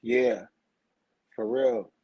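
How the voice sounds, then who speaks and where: Man, 40s, from Brooklyn, husky, very slow, dry sound, rambling slang. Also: medium pitch, fairly even intonation, mellow, no room sound. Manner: rambling, slangy, repeats "you heard?" and "for real". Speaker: guest, teacher and author. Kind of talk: casual spiritual conversation.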